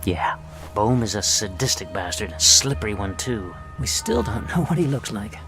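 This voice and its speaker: American wartime spy handler, lowish voice, wry, frank, measured, game cutscene, music underneath. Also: male voice, sing-song. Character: male American intelligence officer, wry, frank, cautious. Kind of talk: video game cutscene acting.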